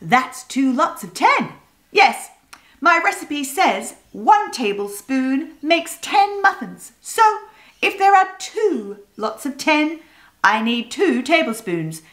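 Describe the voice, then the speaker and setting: A woman's voice: high voice, big pitch swings, a bit bright, voice pushed up, heavily acted. A woman voicing a busy, bossy female magpie who bakes. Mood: brisk. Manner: brisk, explaining, businesslike. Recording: roomy echo.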